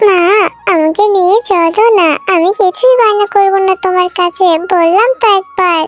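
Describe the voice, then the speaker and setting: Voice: extremely high pitch, steady tone, normal speed, a bit bright, soft background music. A performer voicing an insistent, pleading little girl in a children's cartoon, wheedling and repeating herself. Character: pleading, insistent little girl begging her mother for treats.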